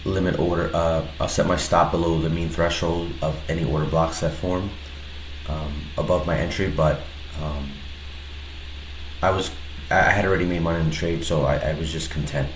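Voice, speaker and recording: A man with a low, deep voice and a stuffy head cold talks through a trade in a relaxed, halting way, often pausing and starting over. His voice sounds slightly nasal. The recording has a roomy echo with a faint hiss of background noise. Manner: relaxed, hesitant, many pauses and restarts. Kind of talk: casual screen-recorded trade breakdown.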